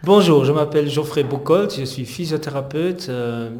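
A man's voice: fairly high pitch, moderate pace, darkish tone. Hesitant middle-aged man, roomy sound. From Netherlands. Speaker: interviewed physiotherapist. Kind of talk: conversational interview answers recounting personal history.

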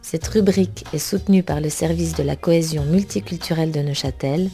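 A woman hosting an interview segment, asking brief, clear questions. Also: low voice, a little fast, warm tone, soft background music. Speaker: interviewer and host.

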